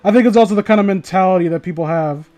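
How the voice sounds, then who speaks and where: A man's voice: high voice, rapid-fire, a little mellow. A tipsy man livestreaming, rambling casually and profanely as he chats and reads stories. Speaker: livestreamer reading online horror stories. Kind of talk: casual rambling livestream chat and story reading.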